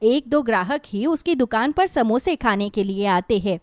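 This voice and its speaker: A woman narrator reads a scripted moral story. Her voice is fairly high, and the recording is very dry with no room sound. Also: lively intonation, normal speed, darkish tone, no echo.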